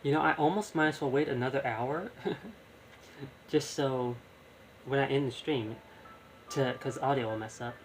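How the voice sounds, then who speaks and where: A man giving casual, halting live commentary as he streams a video game, often repeating his words. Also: mid-range voice, a little hiss or hum, poor audio.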